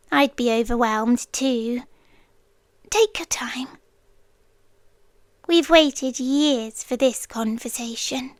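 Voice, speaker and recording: An inhuman forest girl played by a woman speaks in a high, soft British voice, close and very dry. She takes her time, often dropping to a whisper. Her tone is tender yet possessive, as in a yandere ASMR roleplay. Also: no echo. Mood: patient, soothing. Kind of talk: intimate ASMR roleplay monologue.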